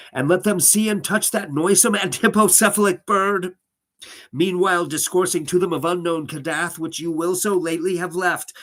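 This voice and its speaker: A man's voice: a little high, fairly bright tone, pitched above the performer's own voice, close to an everyday voice. A man voicing an otherworldly, godlike speaker who recites archaic fantasy prose in a weird, florid, declamatory manner.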